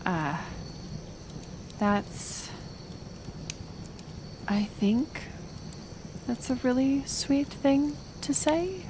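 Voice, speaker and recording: Very slow, halting, gentle woman healer, acted. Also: high voice, sing-song, background noise, exaggerated voice. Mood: gentle, puzzled. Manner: halting, soft, hesitant delivery. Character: kind, flustered, curious young woman healer. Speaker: roleplay audio performer. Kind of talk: intimate ASMR roleplay acting.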